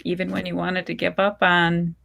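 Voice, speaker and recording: A woman reading the part of a gentle, affectionate middle-aged mother in a short story, soothing and reassuring. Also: on the low side, monotone, moderate pace. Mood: encouraging. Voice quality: husky, creaky, gentle.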